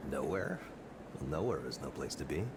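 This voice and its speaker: A self-assured corporate chairman for a visual novel. The voice is very low and swings noticeably in pitch. It comes through with clear background noise. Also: lively intonation, normal speed. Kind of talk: visual novel game dialogue.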